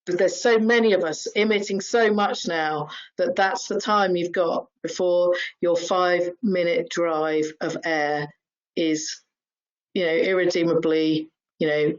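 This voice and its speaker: A woman's voice: lowish voice, highs cut off. A woman, talking chattily as a panel speaker, full of fillers and asking "right?". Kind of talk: webinar panel discussion.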